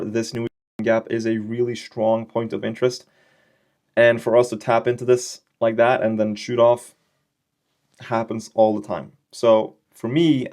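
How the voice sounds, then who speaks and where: A man's voice: medium pitch, darkish tone. A young man giving a casual trading lesson over his screen, explaining in a loose, chatty way with slangy, joking asides and frequent check-in questions. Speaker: trading educator.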